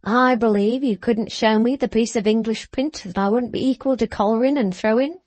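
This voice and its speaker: A fawning, self-satisfied old man who bows and scrapes with every sentence, as a woman puts him on. His voice is dry and close, and it dips low when he adds a deferential word. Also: moderate pace, darkish tone, dry recording, lightly acted. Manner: fawning and deferential, clipped "sir" endings. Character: obsequious, self-important older man with a wooden leg.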